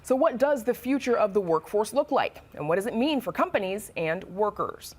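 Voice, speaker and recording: A woman with an American accent reading scripted news and hosting studio interviews in a crisp, measured broadcast delivery. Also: mid-range voice, animated, darkish tone. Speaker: news anchor and host.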